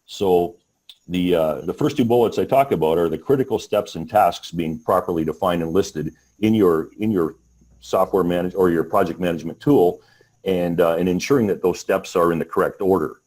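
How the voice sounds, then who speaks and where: A man with a very low voice gives a business webinar presentation. He speaks haltingly, stopping and restarting his sentences and filling the gaps with "uh." He sounds like a panelist on a call. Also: a little mellow. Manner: halting, many restarts and fillers.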